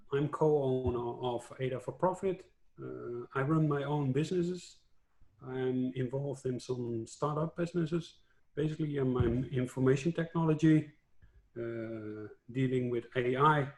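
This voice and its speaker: A man, co-owner of a stake pool, introducing himself in a measured way with frequent fillers. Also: mid-range voice, a little mellow, low-quality recording. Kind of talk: casual panel introduction.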